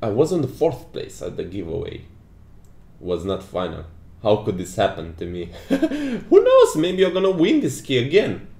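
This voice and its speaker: A man's voice: medium pitch, huge pitch swings, normal speed, dark tone. A young man whose first language is not English, hosting a casual livestream and reading the chat aloud with lots of laughing. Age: 20s. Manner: giggly, reads chat aloud, frequent laughs. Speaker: game developer hosting a stream. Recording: roomy echo.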